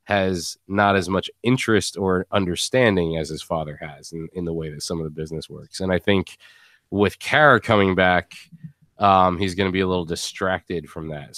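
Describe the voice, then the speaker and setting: Low-voiced man hosting, dry close sound. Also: a bit bright, dry recording. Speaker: podcast host. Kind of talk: casual post-show discussion.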